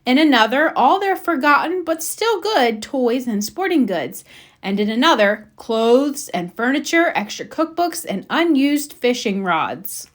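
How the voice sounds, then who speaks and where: Animated woman reading a children's story. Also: high voice, unhurried. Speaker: storybook reader. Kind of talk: expressive children's read-aloud narration.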